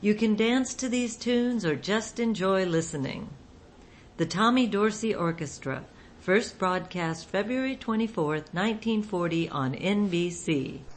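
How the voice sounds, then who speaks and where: A woman's voice: medium pitch, animated, a little slow, slight background noise. A woman hosting a radio show, reading a scripted introduction to a vintage program.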